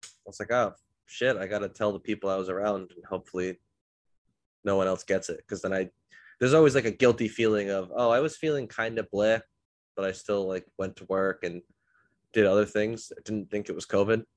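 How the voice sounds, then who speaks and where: A man's voice: lowish voice, fairly even intonation, a little fast, no echo. A man hosting a podcast, a bit congested from illness, telling rambling self-deprecating stories in a casual chat. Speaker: podcast host.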